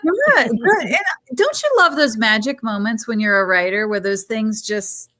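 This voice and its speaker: A woman's voice: on the high side, animated, moderate pace, bright, forceful tone. A woman co-hosting a casual book club chat over a video call, talking in a gushing, conversational way. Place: live online video call.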